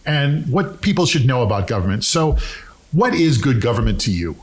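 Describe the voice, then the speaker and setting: A man hosting an interview show, reading a scripted intro and then asking questions in a measured, friendly way. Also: mid-range voice, very animated, husky. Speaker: podcast host.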